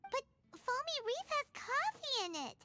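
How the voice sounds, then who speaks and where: A woman voicing a small, chirpy, childlike fairy companion with a squeaky voice in a video game. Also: very animated, a little slow, sharp tone, soft background music, exaggerated voice. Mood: curious, concerned. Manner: refers to self by name in third person.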